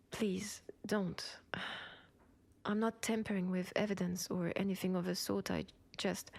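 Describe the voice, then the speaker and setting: A woman with a French accent playing an ambitious, protective cyberpunk cop in an intimate sci-fi audio roleplay, with soft, breathy delivery. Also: mid-range voice. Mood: vulnerable, pleading. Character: ambitious, protective female cyberpunk cop, senior partner to a rookie. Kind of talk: intimate sci-fi roleplay acting, one side of a dialogue.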